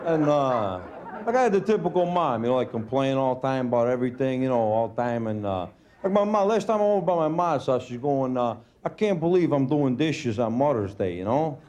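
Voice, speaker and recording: Deadpan Brooklyn comic, lively pitch. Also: male voice, brisk. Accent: Brooklyn New York. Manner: laid-back, deadpan, lots of fillers like "you know" and "uh". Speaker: stand-up comedian. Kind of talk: stand-up comedy set. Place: comedy club stage with laughing audience. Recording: live club recording with audience laughter and room noise.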